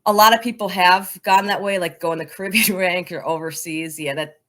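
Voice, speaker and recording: A woman's voice: on the low side, fairly even intonation, normal speed, a bit bright. A woman speaking as an interview guest in a conversational, emphatic way, repeating words to drive points home. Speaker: podcast guest, soccer coaching advocate.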